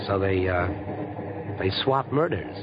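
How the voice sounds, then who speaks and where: Chummy, sinister rich playboy, muffled recording. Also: male voice, deep voice, lively intonation, unhurried, over a noisy crowd. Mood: eagerly scheming. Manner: insinuating, overfamiliar, talkative. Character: idle rich young playboy, chummy, reckless, coolly murderous. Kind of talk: vintage radio drama acting. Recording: dull old radio recording.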